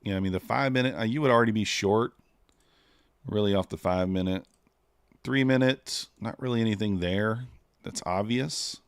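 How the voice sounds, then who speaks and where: A man's voice: lowish voice, normal speed, clear, resonant tone, no echo. A man streaming live, chatting casually and thinking aloud with many fillers as he trades. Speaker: streamer trading futures live.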